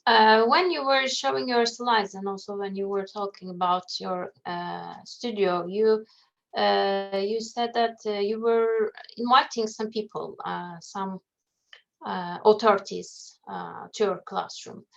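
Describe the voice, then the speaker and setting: A woman's voice: medium pitch, fairly even intonation, unhurried, vocal fry, fairly bright tone. A woman hesitantly asking an academic question as a webinar participant. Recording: dull lo-fi webinar audio.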